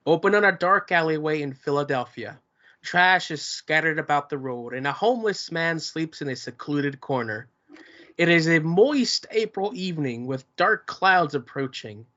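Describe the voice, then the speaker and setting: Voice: medium pitch, expressive, measured pace, fairly bright tone. A narrator reads stage directions at a table read of a play. The delivery is scripted narration, describing scenes and movements. The recording sounds a little muffled.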